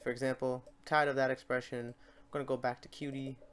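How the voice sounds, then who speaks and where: A man hosting a software tutorial in a casual, unscripted way, talking to himself as he works through the steps. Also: mid-range voice, flat delivery, brisk, a little hiss or hum. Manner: informal, talks to himself while clicking through steps. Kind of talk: casual unscripted screen-recorded tutorial narration.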